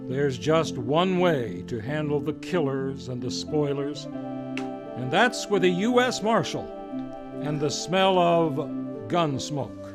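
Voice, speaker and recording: Guy doing old timey radio announcer intros, kinda high voice, music under him. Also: expressive, slow pace. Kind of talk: scripted old-time radio show announcing.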